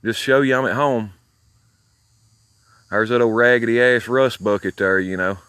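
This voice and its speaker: Man, Alabama drawl, medium pitch, crude and boastful, rambling folksy vlog talk, dry outdoor sound. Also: steady tone, moderate pace, a bit bright, clean tone, dry recording. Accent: Southern US, Alabama. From southern United States. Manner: foul-mouthed, boastful. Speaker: CB radio hobbyist filming his own video. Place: outdoors near his home.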